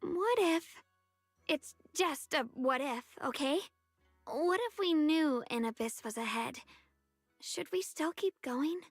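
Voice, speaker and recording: An actress playing a sweet, caring young woman in an anime-style video game, speaking in a gentle, earnest and slightly hesitant way. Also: high-pitched, expressive, slow, no room sound, heavily acted. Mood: wistful, uneasy. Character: sweet, caring young woman who bakes, shyly wistful. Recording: background music under voice.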